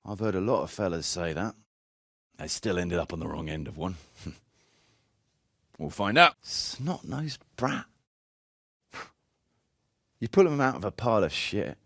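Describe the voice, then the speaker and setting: Blunt medieval mercenary captain, lively pitch. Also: male voice, husky, dry recording, studio quality, exaggerated voice. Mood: scornful, amused. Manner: blunt, coarse, commanding, colloquial. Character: gruff, seasoned medieval mercenary captain, bossy, wry and foul-mouthed. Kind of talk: video game character voice acting.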